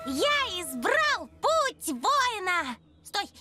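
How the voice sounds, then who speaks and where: A tiny male cartoon alien, extremely high and squeaky, drawing out words at a very slow pace. The trainee warrior is naive, eager and childlike, and loud music plays behind. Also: exaggerated voice. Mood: eager, proud. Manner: excitable, childlike. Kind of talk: cartoon dub acting.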